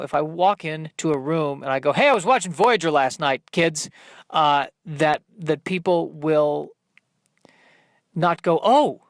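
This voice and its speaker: Halting, self-deprecating man, close dry recording. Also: fairly high pitch, fairly bright tone, no room sound. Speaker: podcast host working out stand-up comedy bits. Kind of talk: rambling comedic solo monologue.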